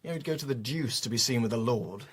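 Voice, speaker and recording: An actor with an upper-class English accent playing a roguish, affable Regency gentleman gambler in a radio drama. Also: medium pitch, expressive, fast talker, breathy edge. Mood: jaunty, gossipy. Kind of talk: British radio drama acting.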